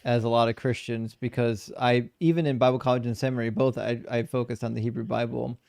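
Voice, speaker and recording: A man hosting a live debate stream, reading out viewer messages in a relaxed, chatty way and laughing often. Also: mid-range voice, quick pace, vocal fry, no echo, clean studio sound. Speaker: debate show host. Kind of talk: casual live-stream chat, reading super chats.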